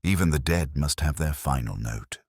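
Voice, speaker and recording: A man voicing a cerebral, grave detective in a mystery audiobook, speaking in deliberate, solemn aphorisms. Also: a bass voice, lively intonation, breathy edge, no room sound, clean studio sound, exaggerated voice. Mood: quiet, solemn. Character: cerebral, grave male detective, quietly philosophical.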